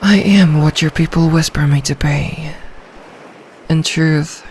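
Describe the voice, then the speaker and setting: A woman playing an ancient, possessive sea dragon in human form for an ASMR roleplay, speaking in a regal, seductive, deliberate manner. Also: low-pitched, darkish tone, a little hiss or hum. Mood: proud, imperious.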